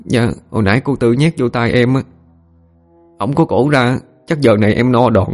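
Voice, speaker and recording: A man's voice: mid-range voice, a little fast, a little mellow, soft background music, pitched above the performer's own voice, natural voice. A man voicing a timid young servant boy, meek and tearful, with a Southern Vietnamese accent in an audio story.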